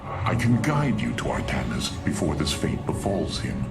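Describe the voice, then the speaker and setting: An actor playing an arrogant, menacing alien warlord in a video game, imperious, deliberate and theatrical. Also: male voice, very deep voice, big pitch swings, creaky, heavily acted. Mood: urgent, cold. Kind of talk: video game cutscene acting. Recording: loud music behind. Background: loud music.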